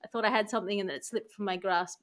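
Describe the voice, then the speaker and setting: A woman's voice: medium pitch, fairly even intonation, rapid-fire. A woman hosting a comedy news panel in a wry, quippy conversational way. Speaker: host.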